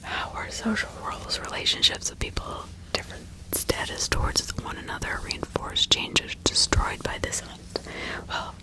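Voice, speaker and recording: A woman speaking in a breathy, hushed whisper as an ASMR host, reading and role-playing in an informal, conversational way. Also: moderate pace. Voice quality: breathy unvoiced whisper with some crackle.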